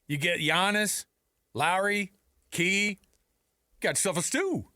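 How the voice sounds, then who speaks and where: A man's voice: high-pitched, slow pace, bright, forceful tone, dry recording, studio quality. A man hosting a sports talk radio show, bantering casually in an informal, playful way. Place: radio studio. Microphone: close studio mic.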